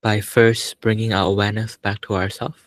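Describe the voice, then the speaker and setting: A man leading a guided meditation as a teacher, speaking calmly and without hurry, in short phrases with long pauses. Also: fairly low pitch, no echo, clean studio sound.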